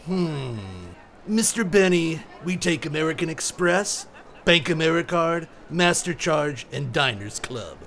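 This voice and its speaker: Snide, sarcastic rental clerk. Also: male voice, on the high side, lively intonation, a bit bright. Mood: smug, sarcastic. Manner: mocking, drawn-out sarcasm. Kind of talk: 1960s radio comedy acting. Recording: noisy, hissy radio comedy recreation.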